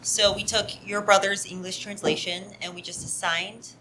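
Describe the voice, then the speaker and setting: Bright-voiced woman from the audience. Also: mid-range voice, little pitch movement, normal speed, slight background noise, poor audio. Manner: chatty, apologetic, not used to mics. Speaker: audience member asking advice. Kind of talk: live on-stage conversation. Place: live venue stage with audience. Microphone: handheld microphone.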